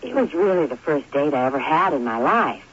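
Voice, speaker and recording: An actress playing a shy, self-deprecating middle-aged woman in a radio drama, hesitant, wistful and gently teasing, on an old broadcast. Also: low voice, big pitch swings, a little fast, slightly rough, dull audio, full character voice.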